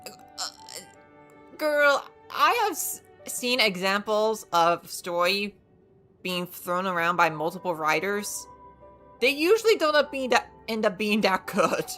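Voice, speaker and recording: A woman giving casual live game commentary as a streamer, thinking aloud in a halting way. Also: medium pitch, big pitch swings, fairly bright tone, faint music behind. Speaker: livestreamer playing a game.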